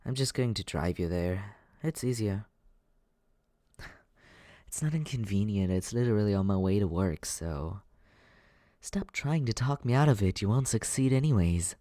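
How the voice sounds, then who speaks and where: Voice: low voice, animated, normal speed, mellow, no room sound, studio quality. An actor voicing a teasing but caring young companion in an intimate, conversational ASMR comfort roleplay, hesitant and reassuring. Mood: playfully insistent.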